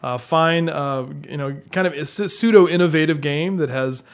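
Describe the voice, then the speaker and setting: A man's voice: fairly high pitch, normal speed. A man co-hosting a podcast, chatting about board games in a casual, jovial, conversational way. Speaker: podcast co-host.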